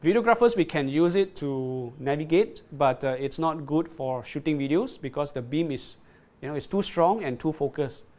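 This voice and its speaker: Guy doing a live product demo, bit high voice, keeps restarting and saying "right?". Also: expressive, darkish tone. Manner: halting, repeats words, checks in with "right?". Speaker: product presenter on a livestream. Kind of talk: live product demo, reading viewer comments.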